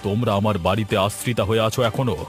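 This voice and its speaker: A man's voice: fairly low pitch, fairly even intonation, very fast, close to an everyday voice. A man playing a stern village landowner in a fairy-tale cartoon, speaking with authority and reproach as he passes judgment. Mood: reproachful. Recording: music behind the voice. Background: background music.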